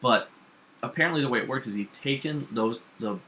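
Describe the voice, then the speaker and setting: A man chatting casually about comic books, rambling and animated, with lots of fillers and restarts. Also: medium pitch, a little fast, a little hiss or hum, rough recording. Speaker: comics podcast co-host. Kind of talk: casual chat.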